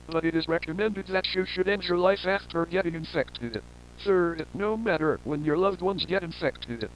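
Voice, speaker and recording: A man voicing a news reporter who reads a grave emergency warning in a formal, measured way. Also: on the high side, fairly bright tone. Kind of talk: scripted emergency broadcast announcement. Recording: muffled lo-fi audio with noisy hum.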